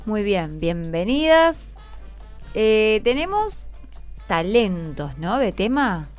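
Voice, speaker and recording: An Argentine woman hosts a radio interview in an unscripted way. Her voice sits at a middle pitch for a woman, and she prompts with short, encouraging questions. Faint music plays behind her. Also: sing-song, slow pace, a little mellow. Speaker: radio host interviewing schoolchildren.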